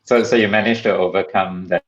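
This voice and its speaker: Low-voiced male interviewer. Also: lo-fi sound. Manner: relaxed, quick to laugh, loose phrasing. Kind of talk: casual interview questions.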